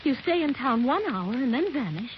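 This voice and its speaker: A woman's voice: high voice, very animated, normal speed. An actress in her twenties playing an anxious, insistent young fiancée in a 1940s radio drama, urgent and pressing. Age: 20s. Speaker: character in a vintage radio drama. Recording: muffled, faintly hissy vintage radio recording.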